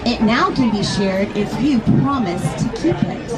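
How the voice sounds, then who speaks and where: High-voiced woman reading to children amid crowd noise. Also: lively intonation, measured pace. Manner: addresses children with frequent simple questions. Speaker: author reading a children's book aloud. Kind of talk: storytelling to an audience of children. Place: large busy toy store event. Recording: busy public event, crowd noise, loud music.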